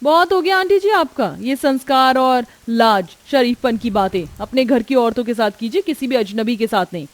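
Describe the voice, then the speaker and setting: A woman's voice: fairly high pitch, lively intonation, normal speed, few pauses, dry recording, natural voice. A woman voicing a brash young modern daughter-in-law in a moral-story cartoon, cheeky and defiant. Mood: annoyed.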